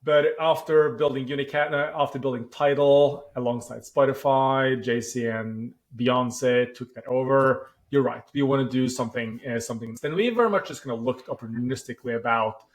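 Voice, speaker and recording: A man from Norway with a clear Norwegian accent talks through his work as a founder. He sits at an ordinary middle pitch and thinks out loud, pausing on "uh" and circling back to rephrase. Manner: thoughtful, with frequent "uh" pauses and restarts. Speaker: startup founder. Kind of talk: podcast interview answers.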